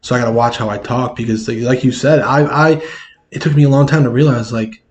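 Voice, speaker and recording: A man with a loud, carrying voice, speaking candidly as a guest in a casual interview and often ending thoughts with "right?". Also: mid-range voice, steady tone, fast talker, highs cut off. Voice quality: loud voice that carries. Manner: tags sentences with "right?"; candid, repeats and restarts words. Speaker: social worker guest. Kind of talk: casual conversation.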